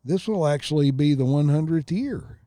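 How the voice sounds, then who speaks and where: A man's voice: on the high side, lively intonation, moderate pace, warm tone, no room sound, studio quality. A man, co-hosting a podcast in rambling casual chat and telling easygoing stories, recorded outdoors on a lakeside tower. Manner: easygoing storyteller. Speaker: podcast co-host.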